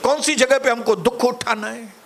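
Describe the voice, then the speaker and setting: A male pastor preaching a sermon, calling out to the congregation and later praying softly. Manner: preaching, rising to shouted calls to the congregation; soft, low, slow in prayer. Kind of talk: sermon and closing prayer.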